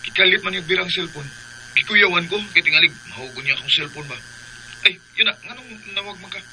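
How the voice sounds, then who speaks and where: An actor playing a pushy, cheeky young suitor with a Filipino accent in a radio drama. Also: male voice, sits high, fast, bright, forceful tone, slight background noise, heavily acted, rough recording. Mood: flustered.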